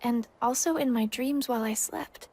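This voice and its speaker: Young game heroine, breathy and fairly high, softly wistful. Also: female voice, moderate pace. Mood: wistful, hushed. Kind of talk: scripted video game cutscene acting.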